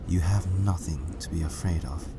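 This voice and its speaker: A man voicing a gentle, reassuring pegasus mare, a proud mother, in an earnest storybook reading. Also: sits low, moderate pace, noisy background. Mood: tender, reassuring. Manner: soothing, earnest, encouraging.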